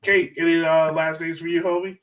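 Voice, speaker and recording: A man with a fairly high voice hosts a sports fan podcast. He talks casually and slangily, with a joking streak, as if chatting among fellow supporters. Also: flat delivery, fairly quick, a bit bright, recorded from a distance. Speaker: podcast host.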